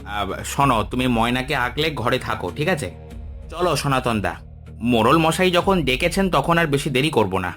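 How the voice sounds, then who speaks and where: A man voicing a humble, kindly village farmer in an animated moral story, respectful and earnest. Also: mid-range voice, moderate pace, faint music behind, lightly acted. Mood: friendly, reassuring.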